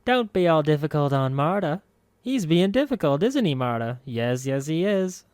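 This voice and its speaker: A man's voice: a little high, very animated, normal speed, darkish tone, clear, resonant tone, no echo, pitched above the performer's own voice. A man voicing a sour, lumbering old woman who mutters to herself in a sing-song voice. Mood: coaxing.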